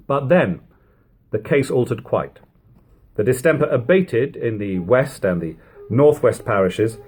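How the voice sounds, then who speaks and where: A man reads classic prose aloud in the style of an audiobook. His mid-pitched voice moves widely up and down. The phrasing is measured and emphatic. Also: a little mellow, breathy edge. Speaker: reader of a classic book. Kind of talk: audiobook-style literary narration.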